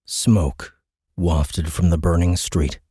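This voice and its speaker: A man with a low voice narrates a dramatic science fiction audiobook. His delivery is measured and suspenseful, like scripted storytelling. The recording is close and very dry. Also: steady tone, dark tone, slightly rough, dry recording. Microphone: close studio microphone.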